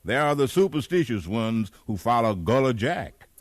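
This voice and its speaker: An actor playing a devout, fatherly elder among rebel slaves, measured and preacherly in 1950s radio drama style. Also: medium pitch, very animated, dry recording, clean studio sound. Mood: solemn counsel. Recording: remastered 1950s radio broadcast.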